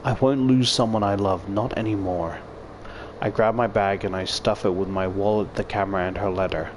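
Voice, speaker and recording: A man's voice: lowish voice, steady tone, normal speed, background noise. A man reading a visual novel aloud as a YouTuber, stumbling now and then and adding casual commentary. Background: game music.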